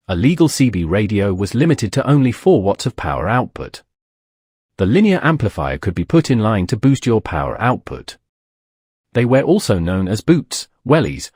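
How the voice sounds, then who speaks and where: A man's voice: mid-range voice, a little mellow, breathy edge, dry recording, clean studio sound. A man narrating a documentary from a script.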